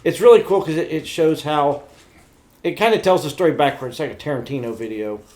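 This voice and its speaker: Higher-voiced middle-aged man, blunt casual talker. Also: a little fast. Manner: animated storytelling, blunt, mildly crude. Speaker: podcast co-host. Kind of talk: casual pop-culture chat.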